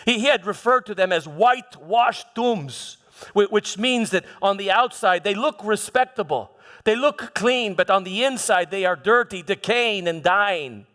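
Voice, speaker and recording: A man playing a troubled Roman governor who recounts his past in a halting dramatic monologue, repeating words and pausing for emphasis. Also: sits high, animated, fairly bright tone. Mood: grave, reflective. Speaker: church dramatist performing a monologue. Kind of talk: first-person dramatic monologue in church. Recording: echoey hall with long reverb.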